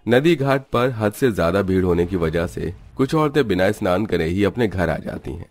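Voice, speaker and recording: Very deep-voiced male storyteller. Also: lively intonation, normal speed. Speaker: storyteller narrator. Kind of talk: scripted cartoon story narration in a dramatic storybook manner.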